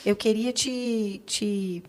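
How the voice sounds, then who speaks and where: A woman with a Brazilian accent and a medium-pitched voice hosts a podcast conversation. She speaks in a reflective, affirming way, like an interviewer summing up and prompting. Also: steady tone, extremely slow. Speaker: podcast host.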